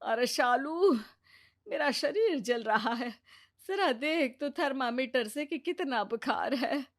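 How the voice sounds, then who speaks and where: A woman's voice: sits high, animated, takes it slowly, pitched above the performer's own voice. A woman voicing an exasperated older mother-in-law in a cartoon story, chiding bluntly. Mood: weary. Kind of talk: Hindi moral-story cartoon acting.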